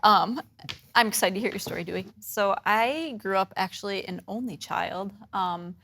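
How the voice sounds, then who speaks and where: A woman's voice: mid-range voice, moderate pace, bright, forceful tone. A woman from Michigan sharing her story in a chatty way, with many "like" fillers. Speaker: discussion panelist. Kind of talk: candid personal sharing.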